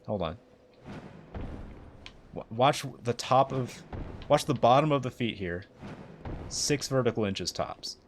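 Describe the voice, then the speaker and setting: A young man with a mixed Southern and Midwestern American accent, chatting excitably and rambling in a self-mocking way while streaming a game. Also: mid-range voice, takes it slowly, long pauses, slight background noise. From United States. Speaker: livestreamer. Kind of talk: casual live gameplay commentary.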